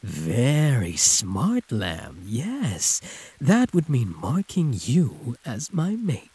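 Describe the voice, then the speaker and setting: A hulking male werewolf, played by a man, purrs possessively in an intimate audio roleplay. His voice is extremely husky, with swooping pitch and an unhurried drawl. He teases like a predator toying with prey. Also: wildly swooping pitch, warm tone, heavily acted. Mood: urgent, protective. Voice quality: husky, breathy. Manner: drawling, teasing pet names, predatory purr. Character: possessive, growling male werewolf prisoner, huge and teasing.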